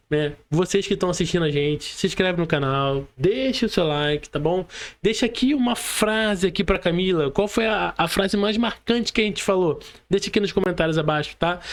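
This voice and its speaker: A Brazilian man hosting a casual podcast interview, informal and often checking in with tag questions. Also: high voice. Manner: informal, frequent tag questions seeking agreement. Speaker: podcast host interviewing a guest.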